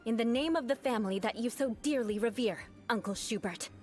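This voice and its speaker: A proud young noblewoman knight, voiced by a woman, cool and composed, answering with dry, sharp remarks. Faint game music sits behind her. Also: on the high side, brisk, natural voice. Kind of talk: video game voice acting.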